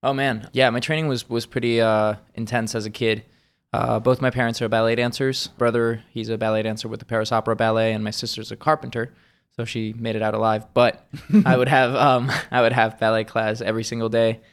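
Young man with a gravelly, flat voice. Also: mid-range voice, brisk. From Switzerland. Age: young adult. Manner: relaxed, laughs easily. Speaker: podcast guest. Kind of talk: casual chat.

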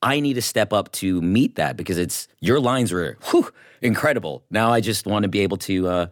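A man's voice: lowish voice, normal speed, dry recording, studio quality. A man talking informally and enthusiastically as a guest in a casual panel chat, often acting out his own thoughts. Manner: informal, enthusiastic, self-quoting. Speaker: guest, voice actor with animation training.

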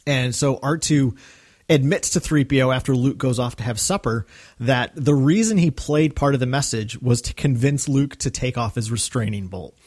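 Male podcast co-host, medium pitch, casual, enthusiastic conversational read. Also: normal speed, a little mellow. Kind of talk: casual discussion of a radio drama.

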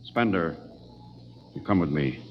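A man's voice: sits low, expressive, a little slow, music in the background. A man playing a firm but reasonable rocket captain in an old radio drama, giving orders with authority. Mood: stern, commanding. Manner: commanding, crisp orders. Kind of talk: 1950s radio drama acting. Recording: dull, hissy old radio recording.

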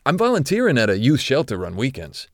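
An actor playing an older, guilt-ridden retired corporate executive who confides haltingly, as in an audio drama interview. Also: male voice, mid-range voice, wildly swooping pitch, dry recording, clean studio sound. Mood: weary, resigned. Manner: hesitant, confessional, halting.

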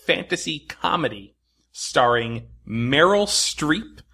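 Bright-voiced man hosting a quiz. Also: fairly high pitch, animated, takes it slowly, breathy edge. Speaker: quiz host. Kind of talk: casual quiz podcast chat.

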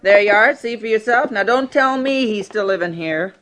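A woman's voice: mid-range voice, animated, moderate pace, close to an everyday voice. Woman as sour landlady, noisy old recording. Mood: grumpy. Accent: American. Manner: blunt, slangy, suspicious. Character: gruff, sour rooming-house landlady owed rent. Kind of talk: 1950s radio drama acting.

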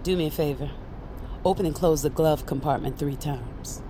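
A woman acting a brisk, cocky guide in a fantasy audio drama. Her voice is on the low side, matter-of-fact and teasing, with clear car and street noise around her. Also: natural voice. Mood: breezy, teasing. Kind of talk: scripted audio drama dialogue.

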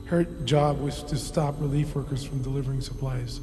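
An actor playing a troubled army therapist in an audio drama, haunted and burdened, with a halting delivery. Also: male voice, mid-range voice, level tone, close to an everyday voice. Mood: somber, haunted. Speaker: military psychologist. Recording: long reverb, music underneath.

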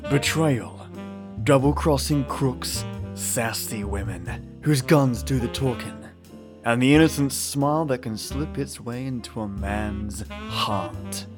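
Plucked guitar music plays clearly behind a man introducing a podcast. His voice is mid-pitched, and he reads his script with theatrical flair while addressing listeners like chums. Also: takes it slowly. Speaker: podcast host.

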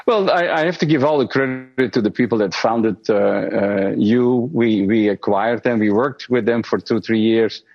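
Low-voiced executive guest on dull audio. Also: male voice, darkish tone. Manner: measured, with frequent restarts and repeated words. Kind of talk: interview answers.

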